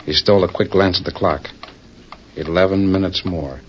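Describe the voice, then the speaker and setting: An actor playing a grave, theatrical narrator of a 1940s radio western serial, telling the story solemnly. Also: male voice, deep voice, normal speed, a little hiss or hum, lightly acted. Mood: grave, suspenseful. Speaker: narrator and announcer. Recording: muffled 1940s radio recording.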